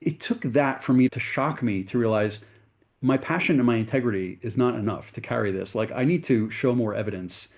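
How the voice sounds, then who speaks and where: A man's voice: mid-range voice, fairly quick, darkish tone. A middle-aged man from the United States, a venture capitalist guest in an interview, telling his story earnestly and correcting himself as he goes.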